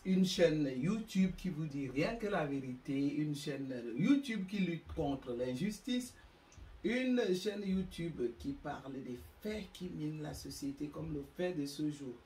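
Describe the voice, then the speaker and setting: A woman's voice: low-pitched, warm tone, roomy sound, distant mic, slight background noise, rough recording. A woman with an African French accent hosting her own channel, delivering an emphatic, moralizing monologue. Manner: slow, emphatic, preachy. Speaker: YouTube channel host.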